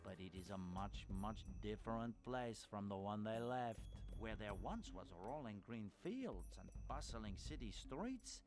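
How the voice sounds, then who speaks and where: A pompous cartoon storyteller narrating like a melodramatic storybook. His pitch rises and falls theatrically over a music bed. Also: lively intonation, a little slow, hardly any pauses. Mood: grave, dramatic. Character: grandiose, theatrical male storyteller, fussily dramatic. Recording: music behind the voice.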